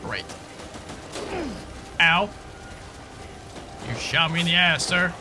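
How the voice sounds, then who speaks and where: A man giving casual live gameplay commentary and chatting informally with viewers, halting and repeating himself at times. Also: a little high, music in the background. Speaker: game streamer.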